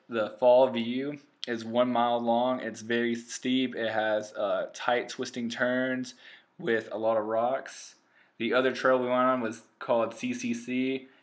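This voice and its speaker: A man, earnestly narrating for viewers as a channel co-host. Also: mid-range voice. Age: 20s. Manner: earnest, upbeat, addresses viewers as guys. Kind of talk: casual voice-over narration.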